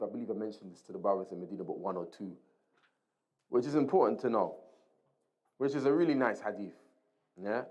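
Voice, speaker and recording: A man's voice: medium pitch, darkish tone. A man giving an informal Islamic lesson, explaining point by point in a conversational way, repeating key phrases and checking in with short tag questions. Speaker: religious teacher reading and explaining a book.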